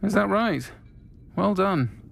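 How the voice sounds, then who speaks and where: High, creaky-voiced tavern keeper. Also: male voice, lively intonation, takes it slowly, fairly bright tone, heavily acted. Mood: friendly welcome. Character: warm, unflappable, protective tavern keeper who faces down bullies. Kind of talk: video game cutscene acting.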